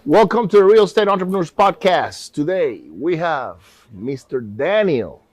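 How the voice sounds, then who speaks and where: A man's voice: fairly high pitch, animated, moderate pace, soft background music. A man hosting a podcast, asking questions in a friendly, casual way and calling his guest bro and man. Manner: friendly, hype-style, uses bro and man. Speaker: podcast host. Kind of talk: casual interview chat.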